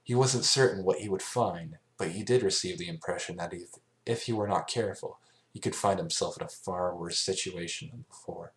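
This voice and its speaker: A man narrating scripted fan fiction as an amateur reader, halting and stumbling over phrases now and then. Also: sits low, poor audio.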